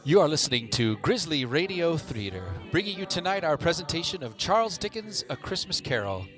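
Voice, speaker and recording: A man hosting a radio theatre show, making intermission announcements to the listeners. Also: fairly high pitch. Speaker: radio play host.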